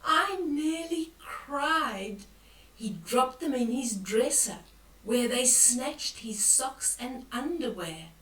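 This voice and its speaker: An older woman reading a picture book aloud to children, expressive and dramatic, with hushed whispers and exclamations as she comments on the pictures. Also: high voice, slow pace. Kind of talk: bedtime read-aloud with asides on the pictures. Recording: roomy echo, distant from the mic.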